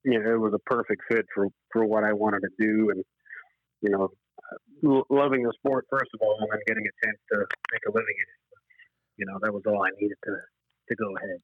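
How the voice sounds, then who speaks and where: A man's voice: lowish voice, steady tone, a little fast, lo-fi sound. A man in his forties from Zimbabwe and California talks as an interview guest, earnest and reflective, in stop-start phrases over a remote call. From Zimbabwe, then California from age eight. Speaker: interview guest, horse racing professional. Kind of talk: relaxed interview conversation. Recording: boxy, echoey, band-limited remote call.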